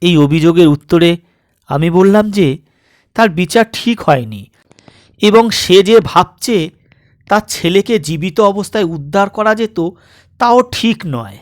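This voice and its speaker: Male audiobook narrator for suspense tales: higher-pitched, deliberate and dramatic, recorded very dry. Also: animated, no room sound.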